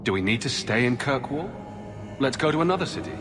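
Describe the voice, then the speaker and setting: An earnest young male game hero, voiced by an actor, with a medium-pitched voice. He speaks directly and questioningly over clearly audible music. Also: expressive, fairly quick, close to an everyday voice. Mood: concerned. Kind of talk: video game voice acting.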